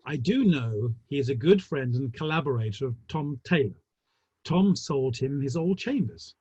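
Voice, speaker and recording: An actor playing a gossipy, urbane Victorian theatre gentleman with a dry, arch manner and a husky voice, in an online play reading. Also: mid-range voice, animated, moderate pace. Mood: wry gossip. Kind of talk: dramatic play reading over the internet. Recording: muffled, roomy online call audio.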